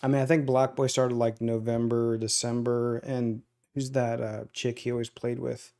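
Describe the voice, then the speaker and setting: A man's voice: mid-range voice, little pitch movement, normal speed, vocal fry, mellow. A man chatting loosely on a podcast, rambling through stories with many "uh"s and "like"s. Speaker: podcast co-host. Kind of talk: casual chat.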